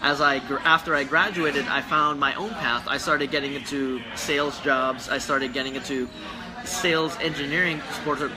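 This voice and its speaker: A man's voice: medium pitch, steady tone, moderate pace, low-quality recording. A young man with a Filipino accent, a guest in a casual livestream chat, talking earnestly and rambling with many restarts. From Philippines. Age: young adult. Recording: background music.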